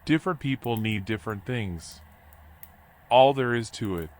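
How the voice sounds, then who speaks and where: An actor playing a washed-up animal drifter in a noir video game, folksy, rambling and resigned. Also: male voice, medium pitch, expressive, normal speed, darkish tone, lightly acted. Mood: wry, resigned. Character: washed-up male drifter animal, philosophical, wry, weary. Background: music.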